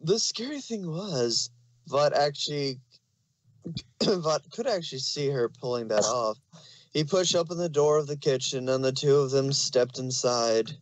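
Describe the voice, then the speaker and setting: A man's voice: mid-range voice, a little slow, dry recording, poor audio. A man narrating fan fiction in an amateur dramatic reading style.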